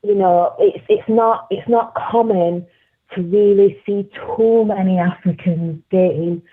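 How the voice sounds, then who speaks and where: A woman's voice: medium pitch, fairly even intonation, a little slow, husky. A woman with a South Yorkshire accent calling into a live talk show, chatting casually and laughing often. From South Yorkshire, England. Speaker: caller. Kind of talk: casual chat. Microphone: phone call. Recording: tinny phone line.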